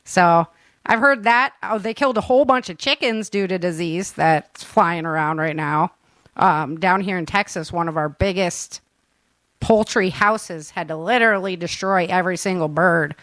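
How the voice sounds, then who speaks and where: A woman hosting a casual chat show in an informal, animated, laughter-prone manner. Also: fairly low pitch, normal speed, dry recording. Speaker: podcast host.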